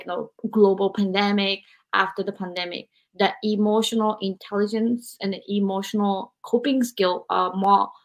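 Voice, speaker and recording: Host, woman in her forties from Japan, mid-pitched, reflective and filler-heavy. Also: rough recording. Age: 40s. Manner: reflective, with frequent "like" and "you know". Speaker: podcast host. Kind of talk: interview conversation.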